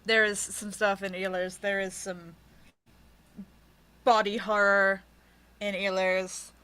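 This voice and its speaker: An American woman speaks as a guest in a casual interview. Her voice is bright and crisp-edged at a medium pitch. She tells stories wryly, breaking off and picking up her own thoughts. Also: level tone, slow pace. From United States. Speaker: interview guest, audio drama writer and producer. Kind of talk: casual chat.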